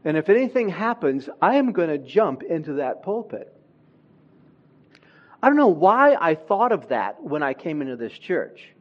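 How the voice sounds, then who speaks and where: A man who grew up in Honduras, preaching a sermon in a church with slow, emphatic delivery that swells louder on key words. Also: fairly high pitch, big pitch swings, muffled recording.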